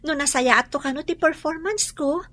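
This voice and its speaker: Bright, high-voiced earnest student. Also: female voice, moderate pace, no room sound. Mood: earnest, hopeful. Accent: Filipino. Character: earnest, respectful young female hospitality student. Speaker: drama actor. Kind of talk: Ilocano radio drama acting.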